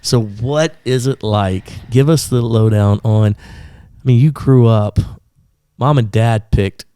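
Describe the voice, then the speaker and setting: A man's voice: mid-range voice, takes it slowly, warm tone, smooth, no echo, clean studio sound. An American man hosting a podcast who chats casually, chimes in often and finishes his guest's sentences. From United States. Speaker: podcast host. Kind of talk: casual interview conversation.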